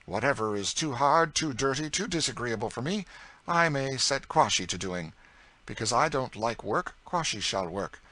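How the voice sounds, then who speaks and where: A man reading a teasing, witty gentleman. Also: medium pitch, animated, moderate pace, pitched above the performer's own voice. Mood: impassioned. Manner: bantering, ironic, then impassioned. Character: lazy, witty, teasing gentleman, young adult. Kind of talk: audiobook character voice.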